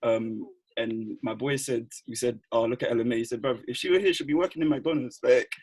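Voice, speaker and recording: Young host, quick and slangy, husky edge. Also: male voice, mid-range voice, rough recording. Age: young adult. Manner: fast, animated, retells friends' quips with slang. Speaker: podcast host. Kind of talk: casual chat.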